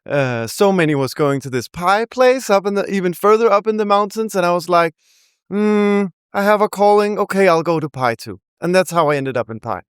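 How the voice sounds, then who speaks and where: Danish-accented young woman, guest rapper; smooth, dry close voice, giggly storyteller. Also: on the low side, expressive, fairly quick, no echo. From Denmark. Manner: chatty, laughs often, rambling asides. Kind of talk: casual interview chat.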